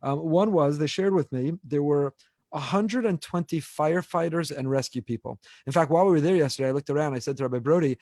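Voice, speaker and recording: A man's voice: a little high, fast talker, darkish tone, no room sound. A man in his forties, a rabbi hosting an unscripted podcast discussion, talking earnestly and repeating words as he builds his point. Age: 40s.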